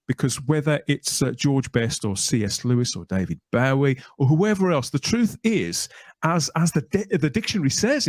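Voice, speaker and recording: A man's voice: mid-range voice, dry recording. A man hosting a podcast, introducing and interviewing in a chatty, wordy manner with theatrical presenter phrasing. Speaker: podcast host.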